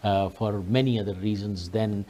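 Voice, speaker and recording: A middle-aged man with a Pakistani accent tells his story as a guest on a talk show. His voice is fairly low and noticeably mellow. He speaks courteously, in a conversational way. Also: steady tone, moderate pace. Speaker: interview guest.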